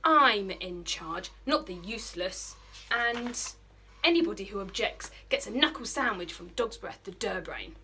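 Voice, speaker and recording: A British woman voicing a bossy, menacing Viking boy bully in a storybook, forceful and commanding. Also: medium pitch, expressive, unhurried, a little hiss or hum.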